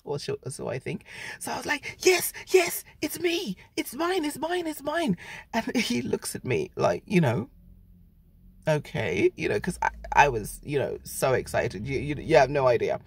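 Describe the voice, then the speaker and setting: Gravelly woman telling a story from her car. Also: unhurried. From Britain. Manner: animated, shifts pitch a lot to act out her own voice and her sister's. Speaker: travel vlogger. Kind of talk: casual storytelling to camera. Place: inside a parked car. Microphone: phone held at arm's length.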